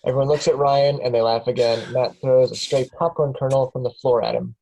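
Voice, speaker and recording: A man reading the stage directions aloud at a script table reading, in a plain, steady way. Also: medium pitch, fairly quick, creaky, rough recording. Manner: plain, steady, chuckles at times.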